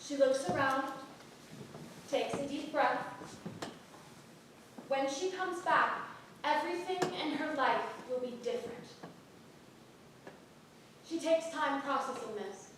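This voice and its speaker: A woman's voice: slow, long pauses. A woman narrator reading out stage directions at a staged play reading. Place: theatre stage. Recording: roomy echoing stage, distant mic, rumble.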